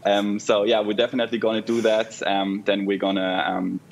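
Deep-voiced young German man on a call. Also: brisk, gravelly, a little hiss or hum. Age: young adult. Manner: relaxed, many ums and restarts. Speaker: student guest on video call. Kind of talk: casual interview answers. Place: indoor room at home. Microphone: earbuds on video call. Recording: muffled video call audio.

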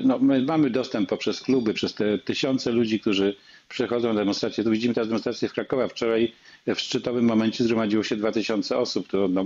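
A man with a low, husky voice moderates a political debate. He speaks haltingly and repeats words, over slightly muffled call audio. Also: darkish tone. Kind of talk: political talk show moderation. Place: indoors on a video call.

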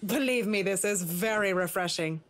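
An actress playing a reserved, earnest young professional woman in a visual novel, speaking in a polite, formal, measured way. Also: medium pitch, lightly acted.

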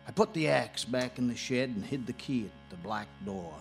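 A man playing a folksy, eerie caretaker narrating a radio ghost story in a gravelly voice. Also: medium pitch, expressive, normal speed, soft background music. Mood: grimly knowing. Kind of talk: old-time radio drama narration.

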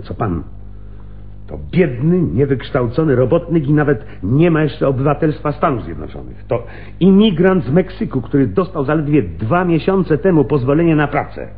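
An actor playing a cunning, sardonic veteran homicide lieutenant in a radio drama, insinuating and pausing theatrically. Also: male voice, mid-range voice. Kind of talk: Polish radio drama acting. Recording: muffled phone-like radio recording, hum.

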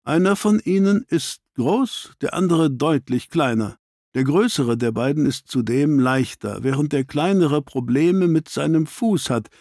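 A man reading an audiobook, voicing an analytical, ironic gentleman detective with precise, clipped statements. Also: a little high, a little slow, no room sound, clean studio sound, voice pushed up.

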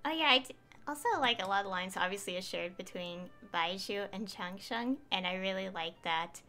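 Bright-voiced young woman streaming. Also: medium pitch, normal speed, soft background music. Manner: giggly, rambling. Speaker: game streamer. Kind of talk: casual livestream commentary.

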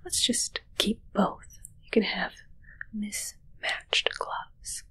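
A woman playing a haughty, fussy witch in a whispered ASMR roleplay, murmuring slowly and condescendingly close to the mic. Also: mid-range voice, very animated, bright, forceful tone, no room sound, heavily acted, rough recording. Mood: musing, fussy. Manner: breathy, slow, condescending murmur. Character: haughty, fussy female witch tending her undead familiar. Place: small dark indoor room with curtains. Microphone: close ASMR microphone.

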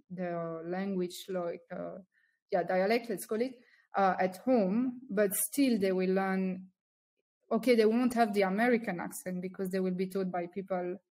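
A woman's voice: mid-range voice, mellow. Woman thinking aloud over a video call. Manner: thoughtful, many ums and restarts. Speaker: guest, founder. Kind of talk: casual interview chat. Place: small room at home. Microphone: laptop webcam on video call.

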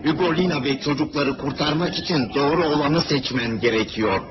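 A man's voice: a little high, a little fast, close to an everyday voice. A man voicing an advisory companion who warns the hero in a cartoon game. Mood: cautioning. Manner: cautioning, instructive. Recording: dull, noisy TV game audio.

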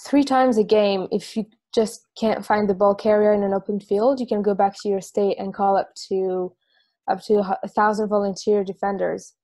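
A woman reading the part of a football player on the run who explains things calmly and plainly, in a video-call table read. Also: mid-range voice, normal speed, close to an everyday voice.

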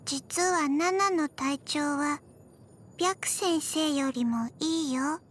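Slow, high-voiced, deadpan undead little girl. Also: a little hiss or hum. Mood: flat, drowsy. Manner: halting, deadpan, soft-spoken with frequent pauses. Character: small undead zombie girl, gentle, forgetful, emotionless. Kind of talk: scripted video game character voice lines.

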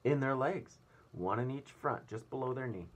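A man's voice: mid-range voice, moderate pace, pitched above the performer's own voice, close to an everyday voice. A man voicing a courteous, proud elderly grasshopper violinist in a children's storybook, in a lecturing manner. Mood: gentle, teasing. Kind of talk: children's storybook read-aloud. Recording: clear room noise.

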